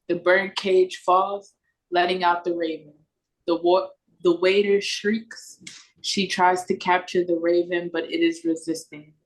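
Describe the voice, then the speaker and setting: A woman's voice: lowish voice, poor audio. A woman reading scripted stage directions for an audio play over a video call.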